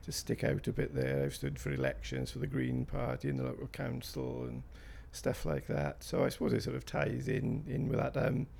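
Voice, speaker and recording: A man's voice: medium pitch, lively intonation, normal speed, vocal fry, few pauses, a little mellow, slight background noise. A man in his fifties with a South Wales Valleys accent, answering interview questions in a hesitant, rambling way with fillers and restarts. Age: 50s. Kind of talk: recorded interview.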